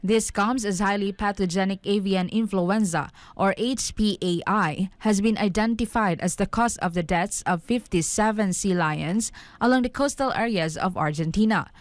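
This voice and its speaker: Woman, news reporter, scripted narration, mid-range pitch, dry close sound. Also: normal speed, hardly any pauses, no room sound.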